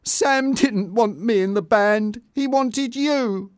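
A man plays an excitable young woman singer in an audiobook story. The voice is raised high and swings widely, with an eager, emotional edge and an insecure temper. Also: normal speed, no echo, studio quality. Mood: shocked, upset.

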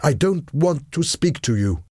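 A man's voice: mid-range voice, sing-song, unhurried, warm tone, dry recording, studio quality, voice pushed up. A man voicing a proud, guarded French lady in an audiobook, polite but firm. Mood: shocked, angry.

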